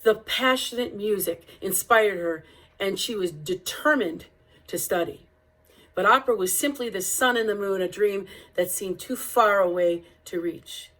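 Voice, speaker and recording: A woman's voice: medium pitch, a little slow, a bit bright, poor audio. A woman reading a children's picture-book biography aloud as storytelling narration, with an occasional small stumble.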